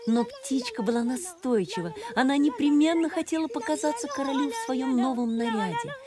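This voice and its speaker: A woman reading a fairy tale as an expressive storybook narrator. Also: high-pitched, fairly quick, crowd noise. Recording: music behind the voice.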